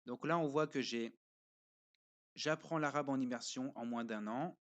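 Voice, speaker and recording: Hesitant man giving a casual review. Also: mid-range voice. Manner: hesitant, pauses often with fillers; explains step by step while showing a screen. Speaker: parent reviewing a learning platform.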